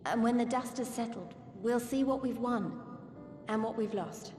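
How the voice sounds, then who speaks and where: An actress playing an earnest young fighter in a video game, confiding in an informal, hesitant way. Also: female voice, fairly high pitch, normal speed, lightly acted. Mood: hopeful, determined. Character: earnest young female fighter, nervous yet determined. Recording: roomy, long reverb, faint music.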